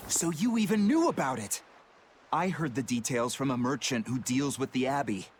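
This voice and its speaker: A man's voice: mid-range voice, lively intonation, normal speed, slight background noise, close to an everyday voice. An actor playing an agitated young pirate crewman in an English game dub, urgent and exclamatory. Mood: urgent, indignant.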